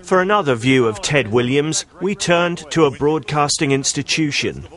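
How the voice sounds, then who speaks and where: A man's voice: a little high, animated, moderate pace, a bit bright. A British man reading a wry, tongue-in-cheek scripted news report.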